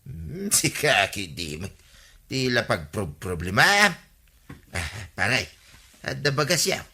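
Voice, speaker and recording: A man's voice: fairly low pitch, big pitch swings, slow pace, creaky, sharp tone, breathy edge, full character voice. A man with an Ilocano accent playing an idle village man who whines and wheedles, in radio comedy drama style. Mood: whining, protesting.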